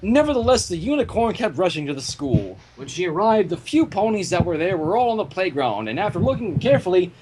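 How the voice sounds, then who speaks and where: A man's voice: high voice, very animated, a little fast, few pauses, noisy background. A man narrating a fan fiction story aloud, with casual asides, in a home recording.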